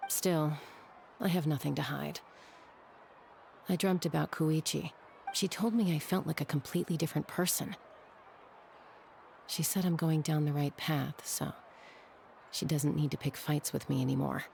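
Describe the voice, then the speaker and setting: A woman's voice: sits low, fairly even intonation, moderate pace, creaky, long pauses, darkish tone, slight background noise. A woman playing a cool, blunt, guarded young loner in an anime game. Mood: dry, guarded. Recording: faint background ambience.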